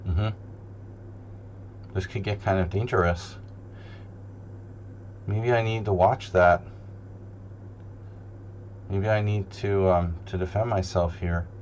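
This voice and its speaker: Slow-talking man, dull humming recording. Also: low-pitched, fairly even intonation. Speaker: chess streamer. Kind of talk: thinking-aloud chess commentary, casual. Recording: dull lo-fi sound, loud hum.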